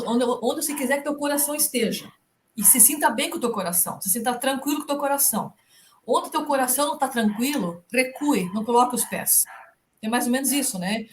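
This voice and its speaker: A woman's voice: on the high side, slight background noise. A middle-aged woman from southern Brazil, a professor chatting as an interview guest, tagging her sentences with "né?". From Rio Negrinho, southern Brazil. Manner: warm storytelling, frequent "né?" tags. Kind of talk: casual interview chat.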